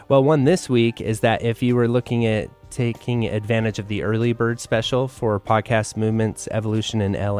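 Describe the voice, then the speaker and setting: A man co-hosting a podcast in casual chat, speaking informally with hesitant, trailing pauses. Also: mid-range voice, darkish tone. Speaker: podcast co-host.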